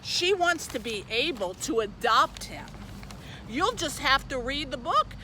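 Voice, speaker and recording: Female children's librarian, bright and animated read-aloud delivery, faint outdoor traffic noise. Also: sits high, a little slow, poor audio. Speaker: youth services librarian presenting a book.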